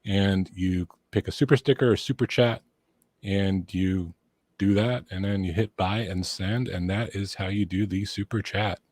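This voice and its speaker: A man calmly walks viewers through a stream's features in a laid-back way. His voice is very low. The recording is dry and close. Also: measured pace, no echo. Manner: laid-back, explaining step by step. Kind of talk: casual live-stream chat.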